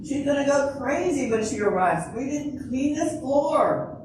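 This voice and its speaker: A woman's voice: sits high, expressive, a little slow, darkish tone. A woman in an amateur stage reading playing an eager, deferential theater staffer who tends to a diva. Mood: admiring, anxious. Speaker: amateur reader's theater performer. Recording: echoey hall from far off, noisy.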